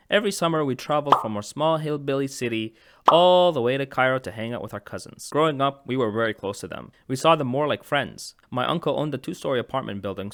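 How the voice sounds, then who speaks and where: A man's voice: mid-range voice, fairly bright tone, no echo, clean studio sound. A man from Egypt narrating a comedic childhood story, with rambling joking asides. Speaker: animation channel host.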